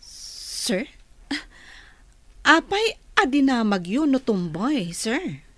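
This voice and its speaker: A woman's voice: fairly high pitch, very animated, very slow, bright, forceful tone, dry recording, exaggerated voice. A woman with an Ilocano accent playing a prying, catty office superior with a pointed manner in a radio drama. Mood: prying, puzzled.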